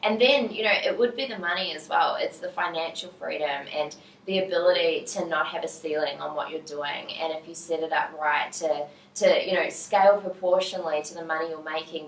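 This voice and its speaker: A woman's voice: low voice, a little fast, few pauses. A young woman entrepreneur talking in a casual vlog and teaching a workshop, upbeat and gushing with lots of fillers. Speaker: entrepreneur and speaker. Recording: echoey, distant from the mic.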